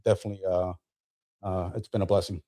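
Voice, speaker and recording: A man in his fifties speaks in a low, relaxed, dark-toned voice. He is a veteran guest on a podcast, telling his story casually and restarting phrases as he goes. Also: fast. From New Jersey, born in Colombia. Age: 50s.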